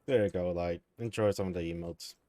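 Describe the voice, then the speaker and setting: Sleepy, low-voiced male streamer. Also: a little fast, dark tone, no room sound. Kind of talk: casual live-stream chat.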